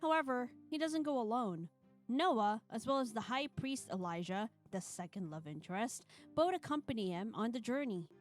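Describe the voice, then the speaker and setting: A woman with a fairly high voice hosts a livestream, chatting casually and reading visual novel narration aloud with animation. Game music plays behind her voice. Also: animated, moderate pace. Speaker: streamer.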